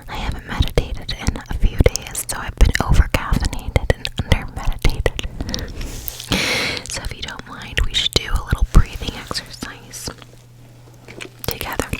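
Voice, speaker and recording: A woman hosting an ASMR podcast in a breathy whisper, speaking slowly and soothingly close to the microphone, with repeated words. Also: rough recording. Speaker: podcast host. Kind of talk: whispered ASMR with guided meditation and rambling. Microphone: close sensitive ASMR microphone.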